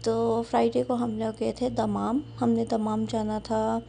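Mellow-voiced young woman narrating her vlog. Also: fairly high pitch, level tone, gravelly, a little hiss or hum. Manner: chatty, unhurried, with frequent "uh" fillers. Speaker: vlogger. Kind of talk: casual vlog voice-over narration.

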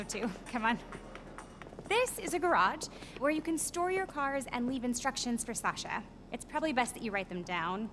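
A frazzled young female production assistant in a video game, as a woman acts her out, tossing off sarcastic asides. Her voice is high and swings widely in pitch. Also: noisy background, lightly acted.

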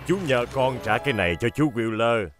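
A man's voice: mid-range voice, a little fast, soft background music, lightly acted. A man voicing a kindly, flustered middle-aged builder in a children's cartoon dub. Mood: troubled. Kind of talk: animated children's dub acting.

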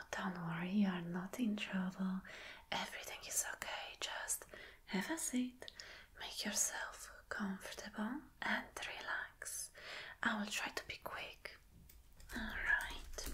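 A woman whispering softly in an ASMR roleplay as a flirty, attentive boss, gentle and reassuring. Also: medium pitch. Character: flirty, attentive female boss soothing an employee. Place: small dim room indoors.

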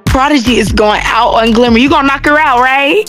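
Woman playing excitable high-voiced fight host. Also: a little fast, soft background music. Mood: excited, instigating. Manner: excitable, slangy, teasing. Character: hyped gossipy female boxing-match host, egging fighters on. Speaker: ringside interviewer. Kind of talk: hype interviewing in an animated web series.

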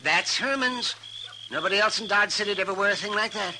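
A man's voice: high-pitched, fairly quick. A man playing a fussy, nosy, mercenary frontier town doctor in a 1950s radio western, with a folksy American accent. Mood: eager, nosy. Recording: noisy 1950s radio recording.

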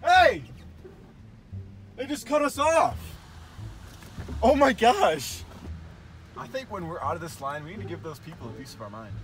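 Animated young man bantering in a car. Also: sits high, unhurried, long pauses, a little hiss or hum. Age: young adult. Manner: slangy, exclamatory. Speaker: prank vlogger. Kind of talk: casual banter with friends. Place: inside a car at drive-thrus at night. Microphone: handheld camera inside the car.

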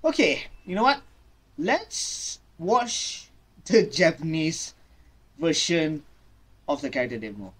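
A young adult man giving casual reaction commentary as a video host, halting and often repeating his phrases. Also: on the high side, expressive, husky. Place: small home room indoors. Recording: loud music under the voice. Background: loud music from watched game trailer.

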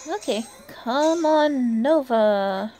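A woman's voice: high-pitched, animated, extremely slow, mellow, a little hiss or hum. A woman giving casual, chatty gameplay commentary as a player and channel host, often talking to herself and to the game's characters. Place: indoors, voice-over on gameplay with game music behind.

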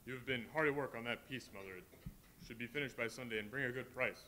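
An actor playing a gentle, grieving father speaking tenderly to his family on stage. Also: medium pitch, quick pace, heavy background noise, natural voice. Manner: tender, mournful. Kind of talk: live stage play reading.

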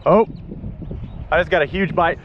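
A man, chatting casually and excitably in slangy talk as he films a fishing outing. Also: a little high, normal speed, crowd noise. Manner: excitable, laughs often, slangy. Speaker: fishing vlogger. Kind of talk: casual chat while filming a fishing outing. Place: kayak on an open lake, some in a car. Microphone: action camera worn or mounted close by. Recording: muffled outdoor action camera, clear background noise.

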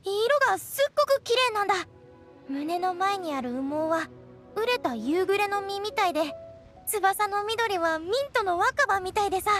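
A tomboyish young ranger girl from a game, very high in pitch. She talks with wide-eyed enthusiasm over faint music. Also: lively intonation, moderate pace, a bit bright. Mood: excited, admiring. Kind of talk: Japanese game voice acting.

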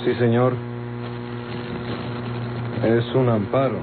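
An actor with a Mexican accent playing a calm, suspicious lawman, formal and pointed, in a vintage radio drama. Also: medium pitch, slow pace, natural voice. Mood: cool, formal. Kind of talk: Mexican golden-age radio drama acting. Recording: muffled vintage radio, loud hum and noise.

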